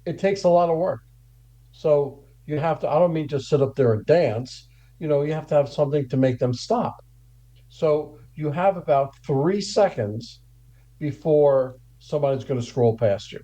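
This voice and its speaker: A man talks as a podcast guest, handing out straight practical advice in a coaching manner. His voice sits a little high yet sounds mellow and relaxed. The audio comes through dull and lo-fi. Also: moderate pace. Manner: direct, coaching tone with rhetorical "right?".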